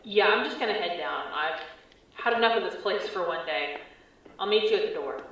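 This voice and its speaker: A woman's voice: lowish voice, moderate pace, a bit bright. A woman playing a wary field agent in an audio drama, reporting tersely and conversationally as she explores an eerie building over a radio link. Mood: unsettled. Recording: echoey, distant, muffled transmission.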